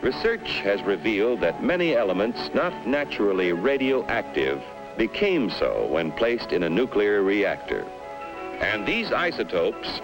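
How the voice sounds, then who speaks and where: A man's voice: lowish voice, low-quality recording. An American man reading scripted narration for a 1950s educational film in a formal, dramatically emphatic manner, on a vintage film soundtrack.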